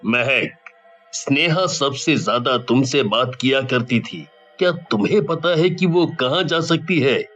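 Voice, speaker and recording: Man playing a slow, bright-voiced police officer. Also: mid-range voice, soft background music. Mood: official, stern. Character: middle-aged male police officer, stern grieving father.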